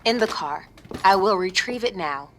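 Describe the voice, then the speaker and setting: A woman's voice: medium pitch, normal speed, slight background noise. An actress playing a polite, stiffly literal artificial woman posing as a mother in a sci-fi audio drama, speaking formally. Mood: literal, puzzled. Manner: formal, flat literal phrasing.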